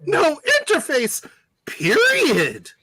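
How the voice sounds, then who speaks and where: A woman playing an excitable starship officer in an amateur radio play, with theatrical exclamations. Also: high-pitched, takes it slowly, background noise, full character voice. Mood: excited. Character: excitable starship officer working the computer consoles.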